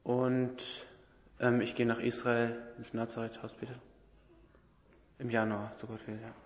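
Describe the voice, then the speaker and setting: A young man from near Sinsheim, a civilian service volunteer, introducing himself softly and hesitantly at a church service. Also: mid-range voice, completely flat delivery, a little slow, slight background noise. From near Sinsheim, Germany. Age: young adult. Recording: echoey church hall, dull lo-fi sound.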